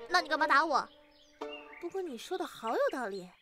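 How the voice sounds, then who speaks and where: Actress as excitable matchmaking sister for audio drama: extremely high pitch, theatrical gushing, music behind. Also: female voice, brisk. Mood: conspiratorial. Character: gleeful, mischievous matchmaking big sister, excitable young woman. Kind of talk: Chinese audio drama acting.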